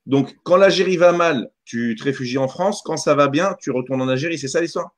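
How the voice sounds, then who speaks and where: A man's voice: a little high, fairly quick. A man born in Algeria hosting a radio debate, interrupting often and stammering as he argues. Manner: interrupts, stammers, repeats himself. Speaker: radio host.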